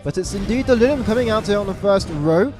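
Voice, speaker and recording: A man giving live play-by-play commentary on a card game match, stressing repeated words and correcting himself quickly. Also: high-pitched, expressive, over music. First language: English. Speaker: esports caster. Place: event venue abroad.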